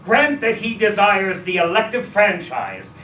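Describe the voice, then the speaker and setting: A man acting a high-voiced orating statesman, echoey. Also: big pitch swings, sharp tone, exaggerated voice. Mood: earnest, stirring. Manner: measured, emphatic, oratorical. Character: Abraham Lincoln, statesman orating earnestly to a crowd. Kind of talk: formal 19th-century political oratory. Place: indoor restaurant staged reading. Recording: echoey live restaurant, distant, some noise.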